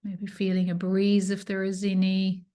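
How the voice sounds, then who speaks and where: A woman teaching yoga nidra, leading a guided relaxation with soothing, unhurried instructions and pauses. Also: mid-range voice, completely flat delivery, warm tone.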